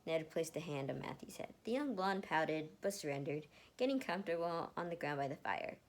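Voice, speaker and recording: A woman reading fan fiction aloud in an expressive amateur way, sometimes stumbling and re-reading words. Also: low-pitched, a little fast. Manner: animated, stumbles and re-reads words.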